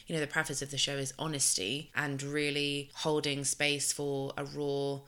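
A woman's voice: sits low, monotone, normal speed, a bit bright. A woman hosting a podcast, earnest and reflective, thinking aloud in a home setting. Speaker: podcast host. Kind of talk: casual interview chat. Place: living room in a home.

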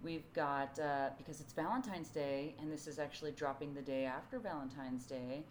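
A woman with a very low voice, hoarse and rough from cheering at a game. She co-hosts a podcast in an easygoing, animated way, full of fillers. A faint hiss sits behind her. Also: moderate pace. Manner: chatty and animated, with lots of fillers. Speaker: podcast co-host. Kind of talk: casual conversational chat.

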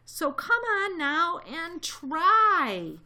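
A woman's voice: a little high, lively intonation, measured pace, fairly bright tone. A woman voicing a kindly, earnest male elephant from a storybook, loyal and anxious, with an emphatic, pleading delivery. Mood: rousing. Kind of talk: read-aloud storybook character voice.

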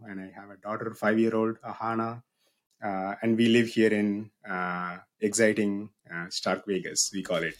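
A man in his thirties from South India with a South Indian accent, telling his story in an easygoing, lightly humorous way as a podcast guest. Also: fairly low pitch. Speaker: guest, business owner and university researcher. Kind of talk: casual podcast interview.

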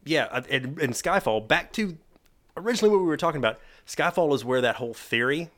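A man co-hosting a podcast in casual chat, excitable, laughing often and swearing now and then. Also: on the high side, a little fast. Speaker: podcast co-host.

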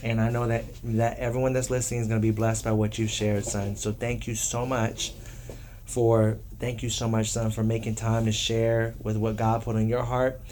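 Even-toned, affectionate man hosting a podcast. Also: on the low side, normal speed, slight background noise. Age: adult, parent of three. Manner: affectionate, encouraging, repeats himself. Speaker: podcast host. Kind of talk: encouraging devotional talk with children.

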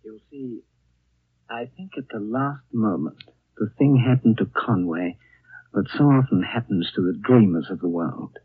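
Low, husky reflective storyteller. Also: male voice, dry recording, narrow, tinny audio. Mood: wistful, solemn. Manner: deliberate, hushed, suspenseful. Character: reflective storyteller recounting a strange tale. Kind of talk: 1930s radio acting, dramatic narration. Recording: thin, dry 1930s radio broadcast.

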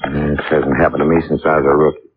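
An actor playing a gruff but decent police captain in a vintage radio drama, plain and subdued. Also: male voice, a bass voice, little pitch movement, fast, background noise. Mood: shaken, weary. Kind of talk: 1950s radio drama acting. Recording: tinny, narrow old radio broadcast.

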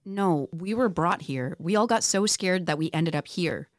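Woman as a low-voiced, weary young heroine. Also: expressive, moderate pace, no echo, studio quality, lightly acted. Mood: urgent. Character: weary, wounded young heroine, brave and blunt. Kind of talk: podcast table read of a TV script.

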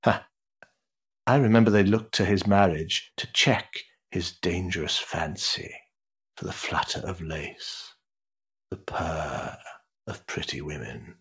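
An actor playing an urbane, cynical older aristocrat in a period stage drama, pompous and sardonic in ornate phrasing. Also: male voice, deep voice, slow pace, fairly bright tone, slightly rough, highs cut off, full character voice. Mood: mocking, disdainful.

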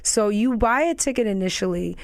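Raspy-voiced chatty woman. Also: medium pitch, animated, no echo. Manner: chatty, quick tangents, trailing restarts. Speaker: guest. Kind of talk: casual interview chat.

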